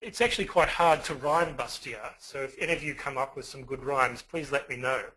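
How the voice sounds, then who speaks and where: A man with an Australian accent giving an online lecture, pausing often with fillers. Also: medium pitch, bright, forceful tone, breathy edge, poor audio. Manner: hesitant, many fillers. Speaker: guest lecturer.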